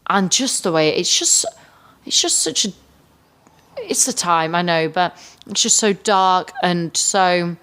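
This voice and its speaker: A woman's voice: on the low side, expressive, takes it slowly, fairly bright tone, clear, resonant tone. A woman co-hosting a podcast, chatting casually about a film, thinking aloud with hedges and emphatic repetition. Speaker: podcast co-host. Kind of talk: casual film review chat.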